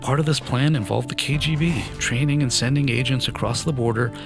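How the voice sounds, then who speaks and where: Gravelly-voiced man narrating his story. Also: medium pitch, over music. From Iran. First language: Farsi. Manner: earnest, measured storytelling. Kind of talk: scripted narration.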